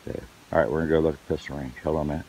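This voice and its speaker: Hoarse middle-aged man, very low voice, casual rambling vlogger, outdoor noise behind him. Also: fairly even intonation, rapid-fire, warm tone, low-quality recording. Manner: rambling, lots of fillers and restarts. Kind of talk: casual outdoor vlog narration. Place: outdoors on wooded property.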